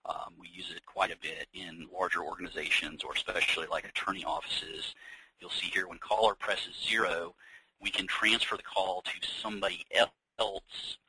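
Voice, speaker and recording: An American man leading an informal software training webinar, explaining things conversationally with practical examples. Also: deep voice, steady tone, moderate pace, a little hiss or hum, rough recording. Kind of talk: informal software demonstration, unscripted walkthrough.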